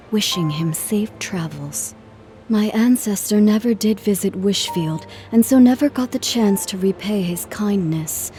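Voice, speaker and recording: An actress playing a gentle, earnest traveling musician in a video game, speaking politely and in measured phrases. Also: female voice, medium pitch, lightly acted. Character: gentle, earnest female traveling musician, composed but careworn. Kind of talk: video game cutscene acting.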